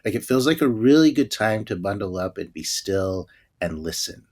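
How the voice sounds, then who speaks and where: A man's voice: fairly low pitch, lively intonation, moderate pace, darkish tone. A middle-aged man co-hosting a podcast, speaking earnestly and reflectively as he wraps up. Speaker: podcast co-host. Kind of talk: podcast host wrap-up.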